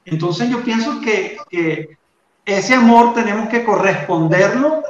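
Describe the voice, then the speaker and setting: A Venezuelan man with a Venezuelan accent, sharing a faith testimony in casual, halting talk full of fillers and restarts. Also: high voice, lively intonation, heavy reverb, lo-fi sound, slight background noise.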